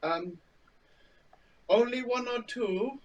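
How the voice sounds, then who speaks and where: A timid, eager camp helper voiced by a man speaks slowly, stumbling over his words. His voice is high and sounds far from the mic, with a faint background hiss. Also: dull audio. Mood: fumbling. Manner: hesitant, fumbling, full of ums. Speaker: actor in a telepresence improv rehearsal.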